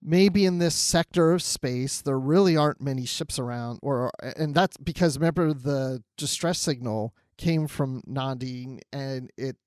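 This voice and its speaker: A man's voice: medium pitch, a little slow, few pauses, a little mellow, clean tone, no echo, studio quality. A man co-hosting a podcast, chatting casually about a TV show and wondering aloud, often quoting his own thoughts. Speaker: podcast co-host.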